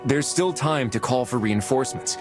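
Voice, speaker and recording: An actor playing an earnest, determined soldier hero in a video game, speaking with resolve. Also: male voice, medium pitch, moderate pace, over music, natural voice. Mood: earnest, urgent. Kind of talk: dramatic video game acting.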